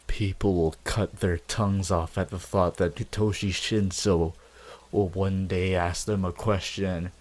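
Young villain, man acting, low register, unhurried and menacing, possessive, grandiose, ASMR roleplay monologue. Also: fairly even intonation, darkish tone. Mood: possessive, hypnotic. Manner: slow, deliberate, gloating, with dramatic pauses. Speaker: voice actor.

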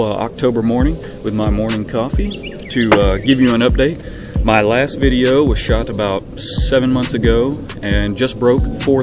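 Low-voiced southern guy chatting to a webcam outside, lots of ums, music playing under him. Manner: relaxed, many ums and uhs. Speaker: vlogger. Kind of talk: casual direct-to-camera update. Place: backyard outdoors. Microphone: webcam at arm's length.

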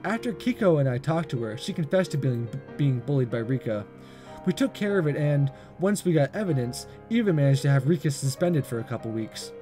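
A man with a fairly high, very animated voice reads a visual novel aloud for a gaming video. His delivery is amateur and expressive, with stumbles and rereads. Soft music plays underneath. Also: a little fast.

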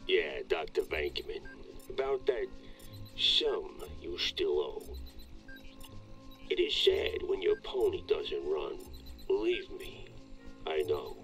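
A man's voice: a bass voice, big pitch swings, slow pace, pauses often, fairly bright tone, soft background music, exaggerated voice. An actor playing a menacing, mock-courteous male loan shark leaving veiled threats on a phone in a video game. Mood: menacing, mock-sympathetic. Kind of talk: scripted game phone message.

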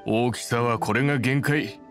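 A man's voice: mid-range voice, unhurried, over music, natural voice. An actor playing a modest, dependable craftsman in an anime game, speaking in a casual, sincere and plain-spoken way. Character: modest, dependable adult male boat builder in anime game.

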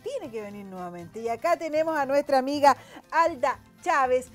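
A Chilean woman with a high voice hosts a television talk show. She is effusive and chatty, exclaiming as she interviews, with some music behind her in the studio. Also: lively intonation, moderate pace. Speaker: television host.

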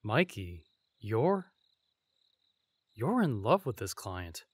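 A man's voice: fairly low pitch, sing-song, slow, slightly rough, dry recording, full character voice. A man playing a skeptical veteran bodyguard and exasperated friend in an audio roleplay, blunt and incredulous.

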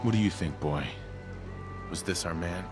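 A man's voice: low-pitched, normal speed, natural voice. An actor with an American accent playing a tough, sardonic male survivor in a video game, speaking tersely. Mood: determined. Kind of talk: video game protagonist dialogue. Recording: game music clearly behind the voice.

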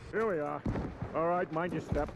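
An actor playing a bossy, self-important vigilante leader in a video game, briefing in a pompous, mock-military way. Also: male voice, a little high, steady tone, moderate pace, creaky, very noisy. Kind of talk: video game cutscene acting.

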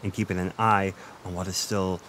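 A man playing a flirty, gentle Irish innkeeper in an intimate, conversational roleplay audio, with a teasing, self-deprecating manner. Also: sits low, little pitch movement, moderate pace, slight background noise. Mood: friendly, reminiscing.

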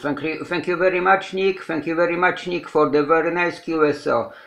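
A man's voice: fairly high pitch, fairly even intonation, a little fast, gravelly, a bit bright. A man from Poland with a Polish accent, an amateur radio operator giving call signs and signal reports in simple English, repeating short phrases emphatically.

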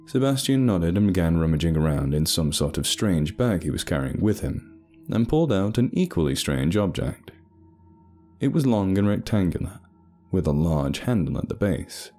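A man's voice: deep voice, big pitch swings, moderate pace, dark tone. A man narrating a scripted horror story, with faint music behind him.

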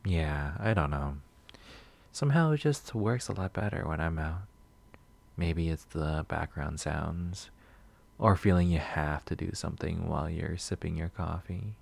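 A man playing a shy, sweet, nervous coffee shop regular in a soft-spoken ASMR roleplay, gentle, hesitant and rambling. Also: sits low, measured pace, vocal fry, exaggerated voice. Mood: calm, musing. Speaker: roleplay audio performer.

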